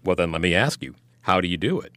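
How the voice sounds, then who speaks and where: A man hosting a podcast, reading a smooth scripted introduction and asking interview questions. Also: low voice, animated, fast, fairly bright tone, dry recording, studio quality. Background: music.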